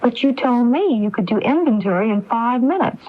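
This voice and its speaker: A muffled 1980s AM radio drama recording. a determined, grieving woman who presses with probing questions. Her delivery is deliberate and accusing, in a classic radio acting style. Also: a little high, lively intonation. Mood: pressing, suspicious. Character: determined grieving woman confronting her brother over fraud.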